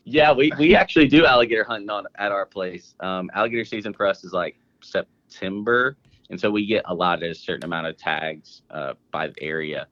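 A very deep-voiced man from Texas chats as a podcast guest in a friendly, easygoing way and laughs easily. His voice sounds dry and a little muffled, with a lo-fi edge. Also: fairly quick, a bit bright, no room sound. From Dallas, Texas. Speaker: guest, app co-owner. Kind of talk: casual podcast chat.

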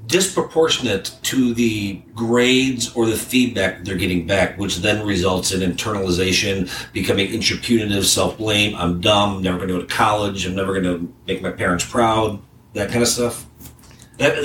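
A man's voice: fairly low pitch, a bit bright, slight background noise. A man hosting an interview podcast from his office as a psychologist, talking loosely with many fillers and restarts. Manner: halting, lots of fillers and restarts. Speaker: psychologist host.

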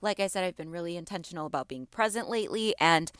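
Slightly raspy woman podcast host. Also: on the low side, fast talker, a bit bright, no room sound, clean studio sound. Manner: encouraging, repeats phrases for emphasis. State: slightly raspy from allergies and screaming. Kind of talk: motivational podcast talk.

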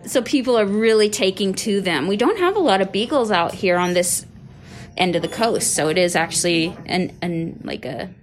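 A woman's voice: mid-range voice, expressive, normal speed, slight background noise. A woman speaking as an interviewee, answering in a conversational, unscripted way. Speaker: interviewee, animal rescue store manager.

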